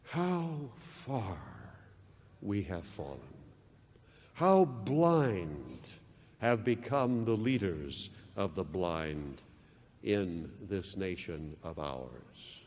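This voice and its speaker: A man preaching a televised sermon with impassioned, dramatic swings from loud declarations down to hushed lines. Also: deep voice, very animated, drawn-out words, big-room sound, slight background noise. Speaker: pastor.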